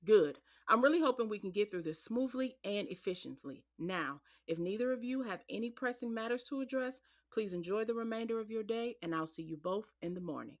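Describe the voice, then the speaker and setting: A woman voices a no-nonsense trial judge with a firm, commanding delivery. She speaks in a mid-range voice and keeps every word measured. She sounds like a character in an audio drama. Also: medium pitch, lively intonation, lightly acted. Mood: brisk.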